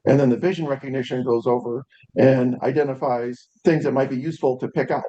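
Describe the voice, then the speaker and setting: A man hosts a tech meetup in a meeting room, speaking into a handheld mic. His delivery is relaxed and chatty, dotted with ums as he runs through announcements. His voice sits at an average pitch, and the sound is dry, with little room echo. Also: fairly quick, dull audio. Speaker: meetup host. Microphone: handheld microphone.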